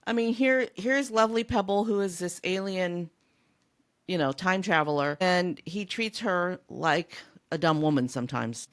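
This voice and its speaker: Low-voiced woman in a casual book chat. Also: a little slow. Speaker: podcast co-host. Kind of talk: casual unscripted book discussion.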